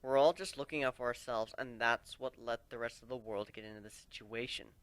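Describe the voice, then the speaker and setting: A man's voice: medium pitch, fairly even intonation, fairly quick, fairly bright tone, no echo, lightly acted. A man voicing a brash, frank young griffin warrior in a dramatic story reading. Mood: determined, emphatic.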